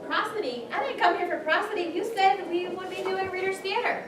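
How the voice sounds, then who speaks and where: A woman's voice: extremely high pitch, a little fast. A woman playing an impatient, complaining audience heckler in readers' theater. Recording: distant, echoey, very noisy room.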